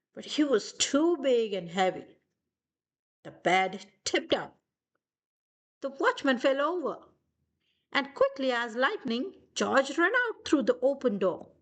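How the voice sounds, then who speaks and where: A woman reading a children's storybook aloud in an expressive, deliberate narrating style. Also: high-pitched.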